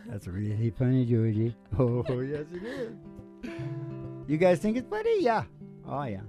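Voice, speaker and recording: A man's voice: medium pitch, big pitch swings, measured pace. A middle-aged man chats casually from a small stage between songs. He rambles and jokes at a leisurely pace. An acoustic guitar sounds prominently around his voice. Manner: rambling, joking. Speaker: singer and show host. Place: small dim curtained stage indoors. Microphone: microphone on a stand. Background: acoustic guitar music.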